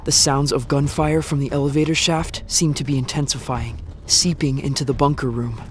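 A man's voice: medium pitch, little pitch movement, normal speed, very noisy, natural voice. A young man playing an anxious apocalypse survivor with a wolf companion in an audio drama. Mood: grave, reflective. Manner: breathless muttering to himself, reflective when narrating. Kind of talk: audio drama acting, first-person narration.

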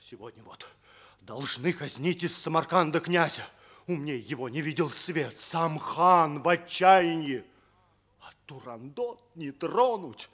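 A prince's devoted, anxious servant in a fairy-tale radio play. The voice is high and swoops dramatically, with slow, declamatory delivery. He speaks with deference. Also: animated, fairly bright tone. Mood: horrified, urgent. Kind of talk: theatrical radio drama acting.

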